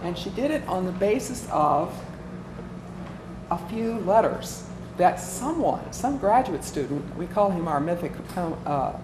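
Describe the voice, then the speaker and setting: A woman's voice: lowish voice, animated, unhurried. A woman giving an informal workshop talk as a volunteer presenter, conversational and inclined to tell stories. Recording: echoey room with clear background noise.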